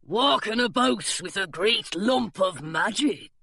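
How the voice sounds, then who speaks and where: A woman voicing a burly, bored male pirate guarding a door, drawling in slow, old-fashioned pirate talk. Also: mid-range voice, expressive, vocal fry, pushed and bright, slightly rough, no echo, clean studio sound, exaggerated voice. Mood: gruff.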